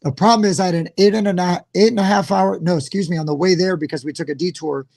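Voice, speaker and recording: A loud, rambling man with a hoarse voice, chatting casually as a co-host. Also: sits high, fairly even intonation, fast talker. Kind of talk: casual chat.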